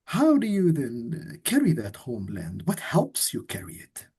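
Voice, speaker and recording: A man hosting an interview, asking reflective questions in a soft, measured way. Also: a little high, huge pitch swings, husky. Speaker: host.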